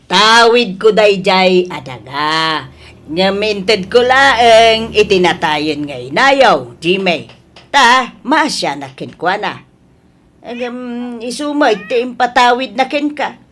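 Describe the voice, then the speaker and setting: An elderly Filipino mother from a radio melodrama. She speaks slowly in an Ilocano accent, stretching her words with stubborn, pleading emotion. Her delivery is emphatic and theatrical. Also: mid-range voice, expressive, a bit bright. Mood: firm. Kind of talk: melodramatic radio drama acting.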